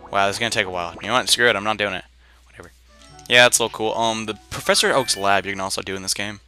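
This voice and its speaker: A young man with a slightly low, very sharp and bright voice hosts a let's play. He rambles casually in slang and drops jokey asides. Faint game music plays underneath him. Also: very fast. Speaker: gaming video host.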